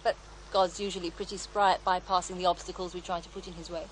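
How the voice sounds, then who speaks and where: An actress playing a serene, devout faith healer in a radio drama, reflective and gently assured as she tells her story. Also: fairly low pitch, flat delivery, quick pace, slight background noise, lightly acted, poor audio. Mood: serene conviction. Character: serene devout female faith healer, former child therapist, wistful. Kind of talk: naturalistic radio drama acting.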